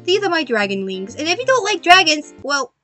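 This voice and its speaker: A young adult woman narrating a craft video as host in a playful, animated, self-mocking way. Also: high-pitched, a little fast, soft background music.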